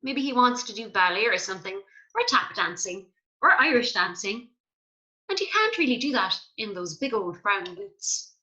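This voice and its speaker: A woman teacher reading a children's story aloud in an animated way, with chatty asides and questions for young listeners. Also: mid-range voice, normal speed, lo-fi sound.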